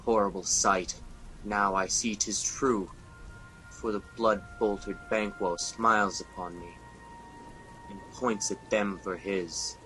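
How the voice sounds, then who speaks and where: A man's voice: lowish voice, fairly even intonation, takes it slowly, pushed and bright, soft background music, poor audio. A man playing a tormented ambitious king, declaiming Shakespearean verse in an amateur radio drama. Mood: horrified.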